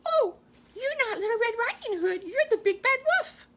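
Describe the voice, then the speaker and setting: A frail old grandmother from a children's storybook, as a woman puts her on. She exclaims in a very high, quavering voice, bright and fluttery. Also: expressive, normal speed. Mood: alarmed.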